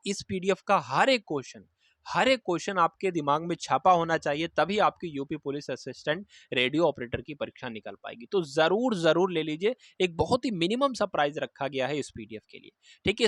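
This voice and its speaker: Male, slightly high voice, exam coach, instructive, repeats key points, close dry recording. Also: no echo. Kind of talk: informal explanatory exam update to students.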